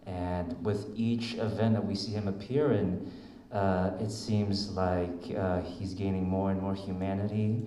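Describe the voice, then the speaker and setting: A man from Vietnam speaks thoughtfully on a convention panel, his voice low and mellow. He pauses often with fillers as he reflects. The hall rings with echo, and a faint noise sits underneath. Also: little pitch movement. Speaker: voice actor panelist.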